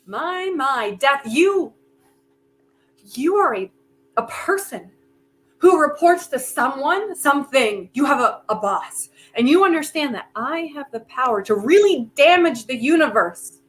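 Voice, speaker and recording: An actress playing a defiant, stubborn inventor in a staged play reading, insistent and full of dramatic pauses. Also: female voice, sits high, animated. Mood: taunting, resolute. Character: defiant, grieving inventor bargaining stubbornly with Death.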